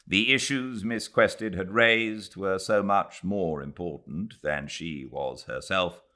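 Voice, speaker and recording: A British man narrating a classic novel in a measured, expressive reading voice. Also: low-pitched. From Britain. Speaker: audiobook narrator. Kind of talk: scripted literary narration. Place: home, beside a fireplace.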